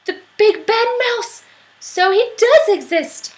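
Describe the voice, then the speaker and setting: A woman's voice: very high voice, takes it slowly, pitched above the performer's own voice, rough recording. A woman voicing a brave, curious young female monster child in a storybook, exclaiming and wondering. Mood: indignant, amazed.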